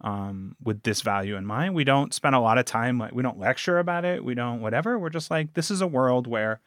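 A man's voice: mid-range voice, brisk, fairly bright tone, no echo, clean studio sound. A man talking casually as a podcast guest, telling stories and quoting himself and others as he goes. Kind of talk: casual interview conversation.

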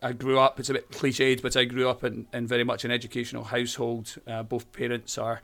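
A man's voice: mid-range voice, completely flat delivery, brisk, creaky, a bit bright. Talkative Scottish man, friendly. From Scotland. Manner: friendly, talkative, frequent fillers and restarts. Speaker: primary school teacher. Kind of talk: conversational interview.

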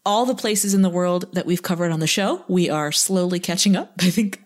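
A woman's voice: lowish voice, brisk. A woman co-hosting a podcast, chatting casually and humorously with playful, self-deprecating, wordy asides. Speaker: podcast co-host.

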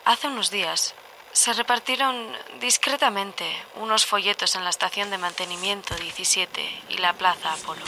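A woman playing a troubled, observant diarist in an audio drama monologue, measured and confessional. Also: mid-range voice, noisy background, close to an everyday voice. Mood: worried, reflective.

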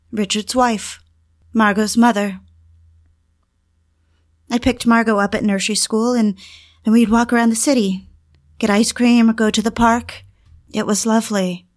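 A woman's voice: medium pitch, natural voice. An actress playing an obsessive, lovelorn housewife, narrating her confessions in a brooding, intimate audio drama style.

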